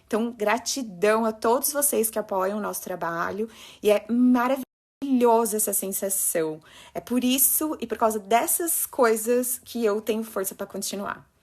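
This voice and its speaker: A woman's voice: on the high side, moderate pace, darkish tone. A woman with a Brazilian Portuguese accent, a health professional giving advice to parents and colleagues in an emphatic, urgent way. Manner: emphatic, urgent, addresses listeners directly. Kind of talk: passionate talk.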